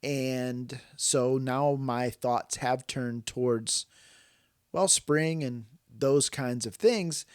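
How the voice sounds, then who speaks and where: A man's voice: medium pitch, very slow, clean tone, no echo, clean studio sound. An American man hosting a podcast in a casual, chatty style, speaking straight to listeners in a folksy, emphatic way. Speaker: podcast host.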